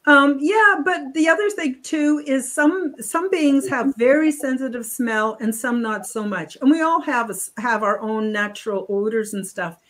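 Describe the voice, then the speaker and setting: A woman's voice: sits high, normal speed, few pauses, fairly bright tone. Rambling woman on a video call. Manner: rambling, frequent restarts and fillers. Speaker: guest. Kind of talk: casual interview talk. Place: home room on video call.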